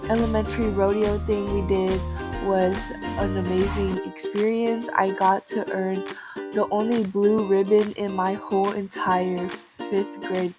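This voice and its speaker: A teenage girl narrating her own personal documentary in a halting, phrase-by-phrase way. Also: medium pitch. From United States, moved to Houston from Vietnam in early childhood. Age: teens. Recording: music behind the voice.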